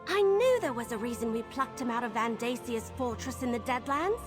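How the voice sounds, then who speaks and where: A scatterbrained young adventurer woman voiced by an actress talks in a high, swooping voice. She is breezy, bubbly and friendly, a fantasy game companion with music playing behind her. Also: lively intonation, fairly quick, lightly acted. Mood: cheerful, eager.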